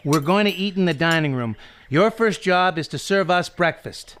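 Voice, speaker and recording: A man's voice: high-pitched, animated, moderate pace, fairly bright tone, clear, resonant tone. A man playing a brusque, shifty, bossy fellow at a desert house in an audio mystery drama. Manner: bossy, curt. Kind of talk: audio drama re-creation of 1930s mystery film.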